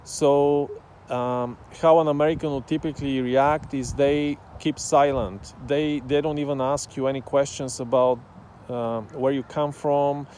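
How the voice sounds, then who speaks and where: Slow, mellow man from Bulgaria. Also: mid-range voice, steady tone, slight background noise. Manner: slow, thoughtful, many "um" pauses. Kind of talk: casual talk to camera.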